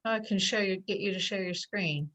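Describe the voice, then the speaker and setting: A woman's voice: medium pitch, a little fast, a bit bright, poor audio. A woman hosting an online science talk over a video call, speaking casually and hesitantly, with many fillers. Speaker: host of online science event. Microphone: computer mic on a video call.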